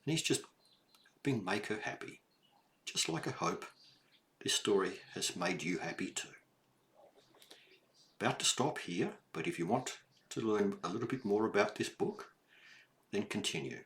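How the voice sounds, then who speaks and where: Casting: older male storybook reader, low-pitched and highly expressive, addressing small children directly; noisy home-style recording. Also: normal speed, pauses often. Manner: animated, addresses the child listener with questions and exclamations. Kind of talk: read-aloud storytelling with explanatory asides for young children.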